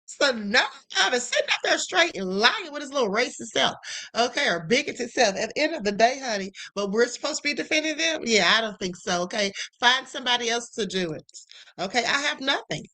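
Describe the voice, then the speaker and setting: A woman's voice: fairly high pitch, expressive, fairly quick, bright, forceful tone. A woman hosting a livestream, giving political commentary in a sassy, emphatic way full of "okay" and "honey". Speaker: livestream host.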